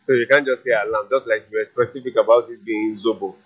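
A man's voice: fairly low pitch, steady tone, fast, fairly bright tone. A man sending a chatty voice note to a radio show. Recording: muffled voice note played on air.

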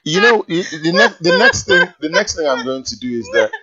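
A man's voice: a little high, huge pitch swings, fairly quick, pushed and bright, husky. A man co-hosting a casual radio show, playful and teasing. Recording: dull lo-fi broadcast sound.

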